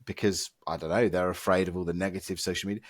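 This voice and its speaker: A low-pitched man with a hoarse voice he is losing to illness. He rants with exasperated emphasis on a close, dry podcast mic. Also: fairly even intonation, fast, no room sound. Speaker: podcast co-host. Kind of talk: casual podcast chat.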